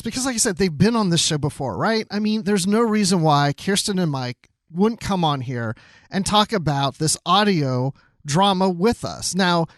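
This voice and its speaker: A man's voice: on the high side, fairly bright tone, no echo, studio quality. A man co-hosting a podcast in casual chat, with a playful, joking manner. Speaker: podcast co-host.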